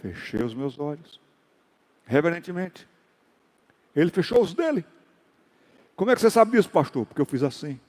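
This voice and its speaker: A male pastor telling stories in a sermon, acting out dialogue and rising into loud exhortations. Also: on the high side, sing-song, normal speed, pauses often, big-room sound. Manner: storytelling with acted dialogue and sudden loud exhortations. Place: church hall.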